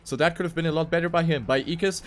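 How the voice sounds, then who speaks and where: Higher-pitched man commentating a racing game. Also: steady tone, very fast. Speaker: esports race commentator. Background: music.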